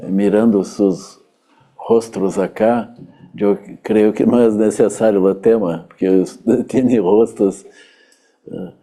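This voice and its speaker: A Brazilian man, a native Portuguese speaker with a Brazilian Portuguese accent, gives a hesitant Buddhist teaching with many pauses and fillers, sometimes slipping into Portuguese. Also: fairly low pitch, steady tone, warm tone. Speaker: Buddhist teacher giving a public talk. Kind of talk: unhurried dharma talk.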